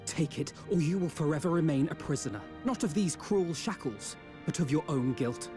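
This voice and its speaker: A man playing an earnest, stern adventurer in a fantasy game, speaking in formal, measured phrases. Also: fairly high pitch, music in the background, close to an everyday voice. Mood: stern, urging. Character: earnest, stern male adventurer urging redemption.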